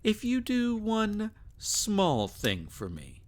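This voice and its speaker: A man's voice: high-pitched, huge pitch swings, slow pace, full character voice. A man acting as a sadistic, possessive vampire lord in an intimate ASMR roleplay, teasing and taunting with theatrical, menacing endearments. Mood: menacing, seductive.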